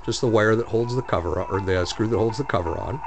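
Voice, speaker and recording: A man with a fairly low voice talks through a hobby project in a casual, plainspoken way. He explains things step by step, like someone showing off home-built work. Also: brisk, gravelly. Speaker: hobbyist restorer of antique radios. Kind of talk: casual demonstration narration.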